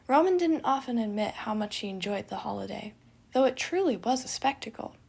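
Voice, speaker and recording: A woman reading a fictional story aloud as narrator, in an expressive scripted style. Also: fairly high pitch, brisk, gravelly, breathy edge.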